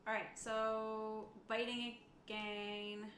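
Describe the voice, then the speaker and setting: A woman's voice: a little high, flat delivery, very slow, fairly bright tone. A woman playing a tabletop game, chatting casually about her moves and the rules. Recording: echoey room with faint background noise.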